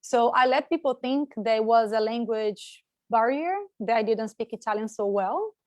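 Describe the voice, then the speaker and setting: Woman, from Brazil, native Portuguese speaker, slightly high voice, hesitant with fillers and repeats, dry close sound. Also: moderate pace, no echo. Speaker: guest. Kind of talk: casual interview talk.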